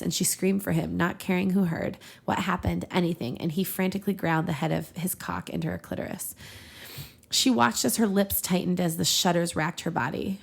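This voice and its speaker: A woman's voice: fairly low pitch, creaky. A young woman hosting a podcast, reading fan fiction aloud with casual asides. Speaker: podcast host and reader.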